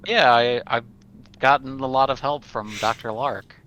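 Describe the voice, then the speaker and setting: A man's voice: medium pitch, steady tone, moderate pace, fairly bright tone, a little hiss or hum, poor audio. A man chatting casually as a player at a tabletop role-playing game.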